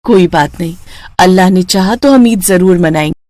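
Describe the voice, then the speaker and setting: A woman's voice: lowish voice, moderate pace, lightly acted. A woman voicing a meek, patient poor younger sister, deferential and obedient, in a storybook tale. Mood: consoling. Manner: deferential, patient, gentle wording.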